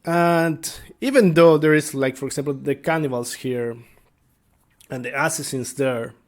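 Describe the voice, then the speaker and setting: Halting man talking through game ideas. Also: fairly high pitch. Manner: halting, thinking aloud. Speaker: tabletop game master. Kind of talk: casual unscripted explanation to viewers.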